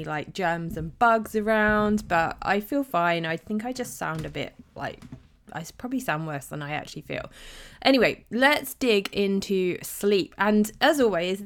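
A woman in her thirties hosting a podcast, chatting casually and confidingly, sounding slightly bunged up from a cold. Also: medium pitch. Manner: chatty, confiding, with many fillers. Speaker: podcast host.

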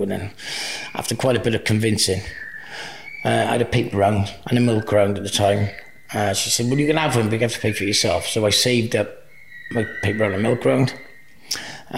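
A man's voice: mid-range voice, vocal fry, poor audio. A middle-aged man from Cardiff with a Welsh accent, a Welsh first-language speaker, talking casually as an interview guest in a rambling, easygoing way with many restarts. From Wales.

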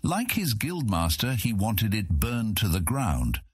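A man's voice: fairly low pitch, huge pitch swings, measured pace, a little mellow, husky, dry recording, studio quality. A man narrating a fantasy audiobook in a dramatic, scripted style.

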